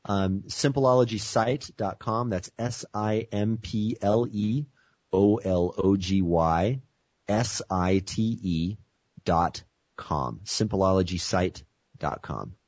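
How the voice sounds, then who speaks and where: Deep, husky American guest on a call; eager sales-pitch delivery, dry muffled line. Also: male voice, slow pace, a little mellow, no echo. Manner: enthusiastic pitchy speaker, frequent you-knows. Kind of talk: teleconference interview.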